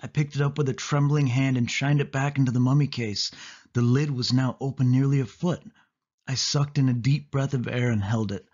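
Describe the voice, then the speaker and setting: A man narrating a suspense story in the first person, with expressive, dramatic delivery. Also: medium pitch, moderate pace, highs cut off. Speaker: audiobook narrator.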